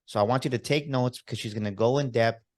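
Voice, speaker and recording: A man's voice: medium pitch, fast talker, a little mellow. A man hosting a motivational podcast, talking with enthusiastic, emphatic hype-man energy. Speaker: podcast host. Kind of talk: upbeat motivational podcast interview.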